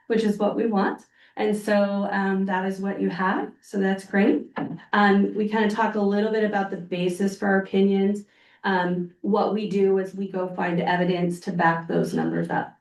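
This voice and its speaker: A woman auditor with a cold-roughened voice, explaining an audit report to a public board in a plain, practical way. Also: medium pitch, darkish tone. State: hoarse from a cold. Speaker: external auditor. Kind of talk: presenting a financial report at a public board meeting. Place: public meeting room. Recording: echoey meeting room, mic far off.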